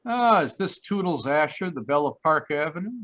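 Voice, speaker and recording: Wisecracking male private eye played by a man, radio comedy style; punchy bright tone, lo-fi recording. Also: a little high, lively intonation, moderate pace. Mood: playful, cocky. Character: wisecracking, cocky, flirtatious male private detective. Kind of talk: old-time radio detective comedy acting. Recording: dull, lo-fi video-call audio.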